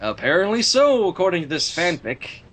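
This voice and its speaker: An expressive story reader narrating fan fiction with casual asides. The voice moves widely in pitch and has a husky, breathy grain. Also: normal speed.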